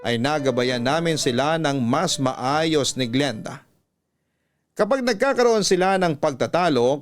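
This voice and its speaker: A man with a Filipino accent reading a scripted first-person story as a radio storyteller. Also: fairly high pitch.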